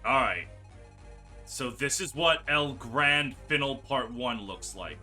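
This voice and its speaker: A man commentates a game playthrough in a very bright, forceful voice. His pitch swings widely as he exclaims and stretches words for emphasis. Game music plays quietly behind him. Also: animated, takes it slowly. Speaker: gaming video host. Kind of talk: playthrough commentary, reading game dialogue aloud.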